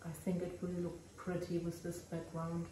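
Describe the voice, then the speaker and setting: A German woman with a German accent, narrating her resin crafting casually and often pausing to search for English words. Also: fairly low pitch, completely flat delivery, warm tone, roomy sound, recorded from a distance, slight background noise.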